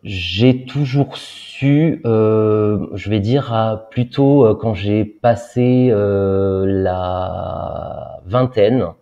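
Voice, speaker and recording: Very deep-voiced hesitant man. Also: warm tone. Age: 30s. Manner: hesitant, many filler pauses. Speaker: guest. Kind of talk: personal interview talk. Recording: dull lo-fi sound.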